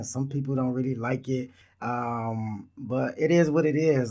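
A man's voice: mid-range voice, darkish tone. A man in his thirties from Israel, hosting his own podcast in a laid-back, rambling monologue that talks straight to listeners. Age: 30s. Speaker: podcast host. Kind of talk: casual solo podcast monologue.

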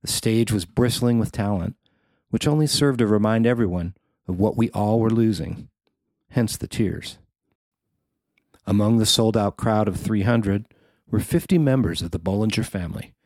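Low-voiced man reading a feature article, dry sound. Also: moderate pace, darkish tone, no room sound. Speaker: newspaper writer reading own article. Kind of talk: scripted narration of a feature article.

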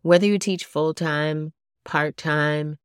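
A woman's voice: low voice, steady tone, slow pace, smooth, dry recording, studio quality. A woman hosting a solo podcast for yoga teachers, sounding congested and nasal from a cold, talking casually and asking her listeners lots of questions. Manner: casual, chatty, asks listeners many questions. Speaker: podcast host.

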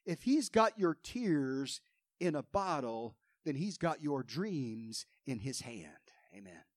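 A pastor preaching a fervent sermon, quoting scripture with rising emphasis, his voice a little hoarse. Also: medium pitch, big pitch swings, slow pace, dry recording, clean studio sound.

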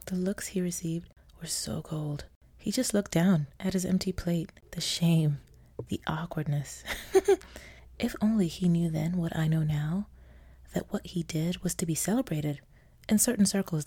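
A woman from Nigeria hosting a podcast, delivering a scripted storytelling monologue with a wry, sardonic, theatrical manner and frequent soft laughter. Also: on the low side, husky. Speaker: podcast host.

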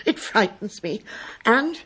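Very husky, fretful older English lady. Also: on the high side, big pitch swings, slow, pushed and bright, exaggerated voice. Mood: anxious. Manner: fretful, fluttery. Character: anxious, muddle-headed older lady companion. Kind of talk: radio drama acting.

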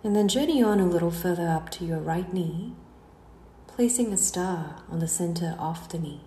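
A woman guiding a meditation in a slow, soothing delivery, letting the ends of her phrases trail away softly. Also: fairly low pitch, expressive. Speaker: guided meditation instructor. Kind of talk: slow guided relaxation narration. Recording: roomy echo, faint background noise.

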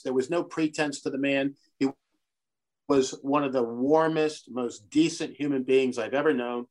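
A middle-aged man from Brazil, a guest on a podcast, answering in an earnest, measured way. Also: mid-range voice, low-quality recording. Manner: earnest, measured, speaks of his convictions. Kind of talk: conversational interview.

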